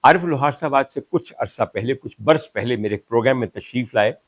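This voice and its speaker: An older man from Pakistan with a Pakistani Urdu accent, hosting a talk show and reflecting on a poet, sometimes reciting Punjabi verses slowly and with emphasis. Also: mid-range voice, no echo. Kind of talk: reflective monologue.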